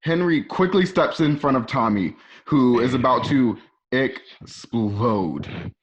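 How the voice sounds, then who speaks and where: A man's voice: mid-range voice, animated, slow pace, slight background noise. A man narrating an amateur radio play, reading out screenplay stage directions. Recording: dull lo-fi home recording.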